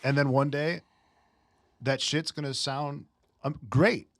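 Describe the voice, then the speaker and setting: A man's voice: on the high side, fairly even intonation, slow pace, no room sound, studio quality. A man hosting a casual music chat, laid-back and curious, laughing as he talks. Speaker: host; music teacher.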